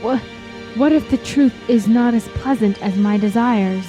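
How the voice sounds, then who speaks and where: A woman's voice: fairly high pitch, a little slow, warm tone, soft background music, natural voice. A woman playing a naive, yearning young traveler in a fantasy audio drama, speaking in a wide-eyed, wistful, formal way. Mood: dreamy, yearning.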